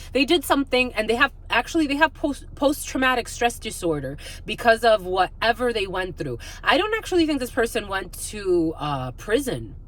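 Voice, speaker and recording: A woman's voice: a little high, a bit bright. Woman giving a rambling spiritual reading. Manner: rambling, frequent "like", repeats phrases. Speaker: spiritual reader hosting online readings. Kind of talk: improvised intuitive psychic reading, casual.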